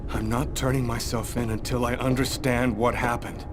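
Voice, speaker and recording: A haunted man on the run, voiced by an actor in a dramatic game scene. His mid-pitched voice is hesitant and earnest, troubled by what he cannot explain, with music behind it. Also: little pitch movement, moderate pace, natural voice. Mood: shaken, insistent. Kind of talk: video game dramatic acting.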